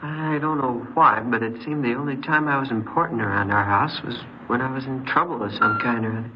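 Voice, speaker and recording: An actor playing a troubled, neglected fourteen-year-old boy who speaks haltingly, in the style of old radio crime drama. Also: medium pitch, close to an everyday voice. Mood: wistful, confiding. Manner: hesitant, halting. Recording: muffled, noisy old radio recording.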